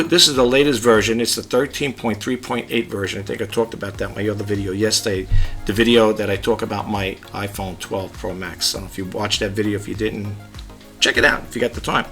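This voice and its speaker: A man talks casually through a gadget demo at his home desk, rambling in a low-key, near-monotone way. His voice has a bright, forward edge, and soft music sits faintly under it. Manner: chatty, rambling, demonstrating gadgets. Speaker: YouTube vlogger. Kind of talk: casual tech demo vlog.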